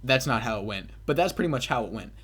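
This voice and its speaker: A man's voice: mid-range voice, expressive, quick pace, a bit bright, breathy edge. Crude, bragging young man telling stories. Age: young adult. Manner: crude, swearing, self-mocking bravado. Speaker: gaming video commentator. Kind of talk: casual rambling party storytelling over gameplay.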